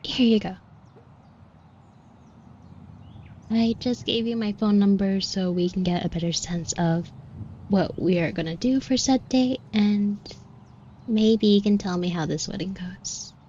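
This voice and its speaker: A woman playing a shy, flustered florist with a crush in an audio roleplay, speaking softly and breathily in a hesitant, apologetic way. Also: medium pitch, normal speed, muffled recording, a little hiss or hum, lightly acted. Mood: pleased, bashful. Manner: hesitant, stammering, rambling, apologetic. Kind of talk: intimate roleplay audio acting.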